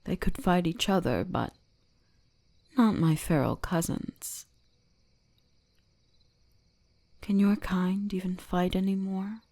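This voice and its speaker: Woman voicing a slow, creaky, imperious fox spirit. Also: lowish voice. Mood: coaxing, dominant. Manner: slow, deliberate, imperious, teasingly gentle. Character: commanding, centuries-old female kitsune shrine guardian, cold yet fascinated. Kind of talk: scripted ASMR roleplay addressed to the listener.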